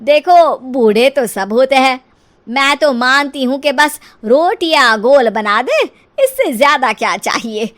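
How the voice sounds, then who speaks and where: Woman acting a high-voiced, boastful cartoon mother-in-law. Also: animated, unhurried, a bit bright. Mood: defensive. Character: proud, doting middle-aged mother-in-law, image-obsessed gossip.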